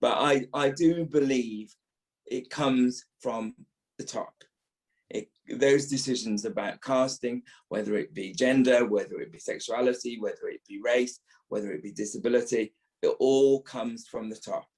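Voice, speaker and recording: A man's voice: medium pitch, normal speed, darkish tone, poor audio. A man in his fifties speaking as an actor being interviewed, reflective, stressing points by repeating phrases. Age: 50s.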